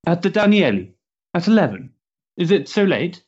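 An actor playing a nervous, self-dramatising young Victorian gentleman with an effusive, theatrical manner in a period drama. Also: very animated, normal speed, slightly rough, dull audio, heavily acted. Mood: polite. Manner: effusive, highly strung, theatrical. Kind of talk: period stage drama acting.

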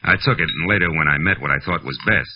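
An American actor playing a world-weary private detective in a 1940s radio noir drama, clipped and wry. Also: male voice, very deep voice, quick pace. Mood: matter-of-fact. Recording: dull vintage 1940s radio recording, music.

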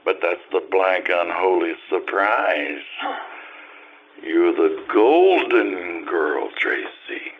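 A theatrical romantic man, played by an actor in an old-time radio drama, very slow and halting, with wide swings of pitch. The recording is dull with faint noise behind it. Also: lively intonation. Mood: ardent, tender. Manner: halting, declamatory, drawn-out. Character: ardent, smitten man, impulsive romantic, theatrical. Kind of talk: old-time radio acting, live stage performance. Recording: dull, noisy lo-fi stage recording.

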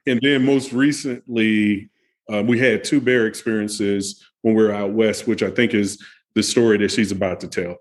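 A man guest on a video call, relaxed and telling stories with many fillers. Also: on the low side, normal speed. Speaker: guest, camper.

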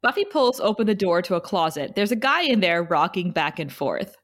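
Animated woman narrating stage directions. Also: lowish voice, a little fast. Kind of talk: scripted narration at a table read.

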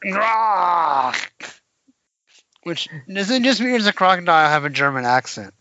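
Bright-voiced man chatting about comics. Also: high-pitched, measured pace, dry recording, dull audio. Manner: animated, laughs often, acts out quotes. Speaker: podcast co-host. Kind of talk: casual chat about comics.

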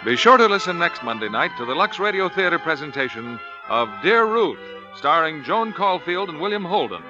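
High-ish 1940s radio announcer over music. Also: male voice, sing-song, moderate pace. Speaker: network announcer. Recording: dull vintage radio, music, audience.

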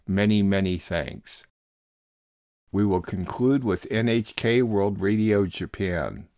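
A man with a fairly low voice presents a radio show. He reads scripted announcements and links between items, and the recording is dry, without room sound. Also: slow, darkish tone, dry recording. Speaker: radio show host and producer.

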